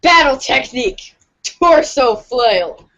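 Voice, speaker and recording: A woman giving an animated dramatic reading of a comic story for a podcast. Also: high-pitched, slow, lo-fi sound. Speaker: podcast reader.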